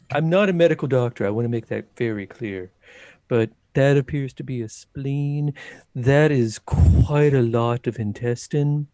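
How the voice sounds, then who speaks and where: A man's voice: medium pitch, mellow, dry recording, close to an everyday voice. A man playing a nervous, squeamish and pedantic adventurer in a tabletop game, stammering and hesitating as he talks. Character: nervous, squeamish, pedantic male adventurer who dreads tunnels.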